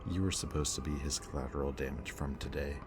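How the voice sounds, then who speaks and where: Guy doing a super deep remorseful villain, low confessional talk, clear ambient noise behind. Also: fast talker. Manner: low, intimate, confessional delivery with dropping whispers. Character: remorseful bitter male villain, young adult. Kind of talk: audio roleplay addressed to the listener. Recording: steady ambient background noise.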